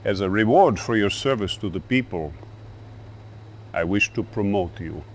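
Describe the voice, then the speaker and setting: An actor playing an older Soviet dictator in a video game, ominous and deliberate. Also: male voice, on the low side, animated, a little hiss or hum. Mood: grandiose, ominous. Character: menacing Soviet dictator, older man, boastful and theatrical. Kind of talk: video game cutscene acting.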